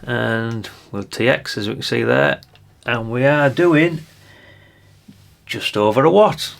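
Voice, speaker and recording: A man's voice: mid-range voice, slow, vocal fry. An older man giving a casual hands-on product demonstration, chatty and unscripted, thinking aloud as he goes. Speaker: presenter of a radio gadget review channel.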